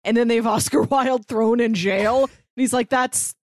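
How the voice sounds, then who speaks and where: A woman's voice: on the high side, fairly even intonation, normal speed, darkish tone. A woman co-hosting a podcast, casually retelling and commenting on a story in everyday conversational speech. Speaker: podcast co-host.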